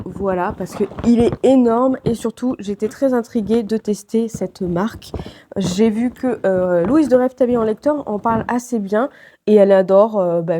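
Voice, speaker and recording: A woman's voice: mid-range voice, dark tone, a little hiss or hum. A woman with a head cold and a stuffy, nasal voice, chatting casually to camera in a vlog, with many fillers and restarts. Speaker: vlogger.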